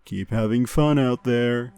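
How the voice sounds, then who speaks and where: Male actor as chipper podcast newscaster, rambling and offhand, deadpan comedy delivery, music underneath. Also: mid-range voice, a little slow, natural voice. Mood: breezy, deadpan. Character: chipper, rambling male amusement park news podcast host. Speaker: podcast host. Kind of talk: scripted comedic news monologue.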